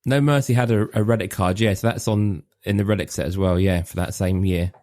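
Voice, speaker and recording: Low-voiced man chatting on a podcast. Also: brisk, no room sound, clean studio sound. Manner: relaxed, lots of ums, rambling lists. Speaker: podcast co-host. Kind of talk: casual chat.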